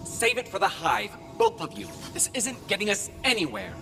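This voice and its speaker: An exasperated peacemaker in a game cutscene. Her voice crackles with fry and swings widely in pitch as she tries to smooth things over. Music sits behind her. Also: wildly swooping pitch, moderate pace. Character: exasperated peacemaker stepping into a quarrel. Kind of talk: video game cutscene drama.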